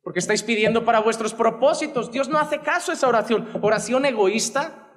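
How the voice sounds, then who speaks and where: A man's voice: extremely high pitch, a little fast, fairly bright tone, big-room sound. A man in his thirties with a Spanish accent from Spain, preaching a sermon from a pulpit with passion. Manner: impassioned preaching with wide arm gestures. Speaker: pastor. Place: church stage behind a wooden pulpit. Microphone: pulpit gooseneck mic.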